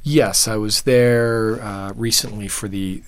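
A man being interviewed as a photojournalist, answering thoughtfully and haltingly, with many restarts and fillers. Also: lowish voice, steady tone. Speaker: photojournalist guest.

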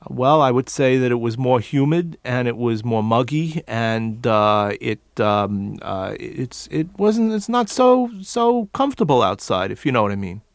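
A man's voice: medium pitch, animated, unhurried, hardly any pauses, dry recording, studio quality. A middle-aged man from New York, an accent coach, explaining in a radio interview and repeating words to demonstrate how accents differ.

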